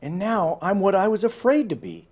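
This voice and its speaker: A man's voice: high voice, very animated, normal speed, a little mellow, pitched above the performer's own voice. A man voicing an eager, dramatic young male snake in a storybook. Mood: reflective.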